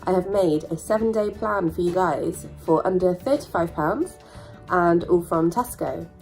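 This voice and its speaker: A young woman, hoarse from a sore throat and stuffed up, chatting casually to camera as a vlogger with plenty of ums and rambling asides. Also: fairly low pitch, measured pace, a little mellow, faint music behind, rough recording. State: croaky sore throat, congested.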